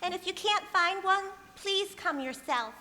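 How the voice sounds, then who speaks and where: Cheerful ditzy wife, old radio comedy, piping high voice, innocent chatter, hall reverb. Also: female voice, animated, a little slow, bright, forceful tone, exaggerated voice. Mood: chirpy, innocent. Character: cheerful scatterbrained young wife, comic ditz. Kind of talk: 1940s radio comedy acting on stage. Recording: echoey hall stage, faint noise.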